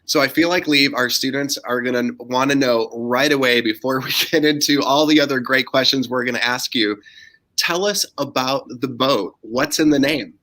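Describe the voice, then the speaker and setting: Upbeat male podcast co-host, bright voice. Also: medium pitch, moderate pace. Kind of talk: casual interview questions.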